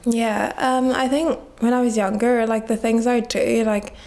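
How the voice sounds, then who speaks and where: A woman's voice: a little high, fairly even intonation, moderate pace, a little mellow. A nineteen-year-old woman from Nigeria, reflecting in a casual interview and saying "like" a lot. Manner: heavy use of "like" as filler, reflective. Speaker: guest.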